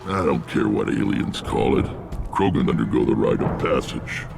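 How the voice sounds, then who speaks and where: A massive alien warlord from a video game, with an extremely deep, heavily creaking voice. He speaks bluntly and with weathered authority, as a leader of clans, over clear background rumble. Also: expressive, normal speed, heavily acted. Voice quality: gravelly, rumbling. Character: huge gruff alien warlord clan leader, commanding, weathered.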